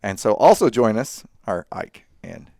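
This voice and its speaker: Man hosting, low voice. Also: measured pace, creaky, no room sound, clean studio sound. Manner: relaxed, friendly, says y'all. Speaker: podcast host. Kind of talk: casual podcast chat. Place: dark studio set with leather chairs.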